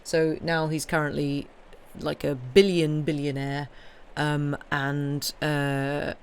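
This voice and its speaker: A woman's voice: low voice, little pitch movement, slow, clear, resonant tone. A woman chatting casually to live stream viewers as host, informal and hesitant as she thinks aloud.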